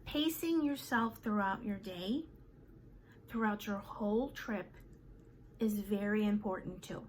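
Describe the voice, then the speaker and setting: A woman in her fifties in a casual vlog, talking to her viewers in a chatty way with many fillers and pauses. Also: medium pitch, a little hiss or hum, poor audio. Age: 50s. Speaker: vlogger. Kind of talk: casual chat to camera.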